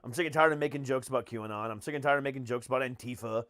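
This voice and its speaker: A man's voice: medium pitch, very fast, a bit bright, no room sound, studio quality. An American man hosting a podcast in casual chat, speaking bluntly and swearing freely. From United States. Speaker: podcast host and comedian.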